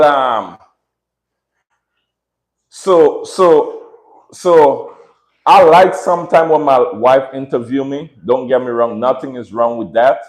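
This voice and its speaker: An earnest man with a Caribbean accent takes his time, speaking unhurried and reflective on a home livestream. His voice sits at an ordinary middle pitch and rings around a roomy, echoing space. He circles back on his own phrases and keeps asking listeners "right?". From Dominica, raised in Saint Martin. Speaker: livestream host and dog breeder. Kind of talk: casual reflective livestream talk. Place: room at home with dogs. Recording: echoey room.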